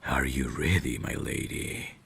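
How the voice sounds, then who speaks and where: A man playing a devoted, courteous vampire butler in an ASMR audio drama, speaking formally and gently in a hushed, gravelly voice that slips toward whispers. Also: very deep voice, a little hiss or hum, heavily acted. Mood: reassuring, solemn. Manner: slow, formal, gentle, deferential.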